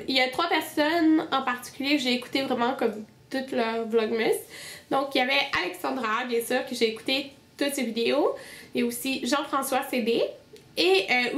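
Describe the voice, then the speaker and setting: A woman's voice: high-pitched, fairly bright tone, reverberant. A young woman with a Québécois French accent, stuffed up with a cold, chatting casually about her favorite beauty products. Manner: chatty, many fillers and restarts. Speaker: beauty vlogger. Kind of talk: casual monthly favorites video.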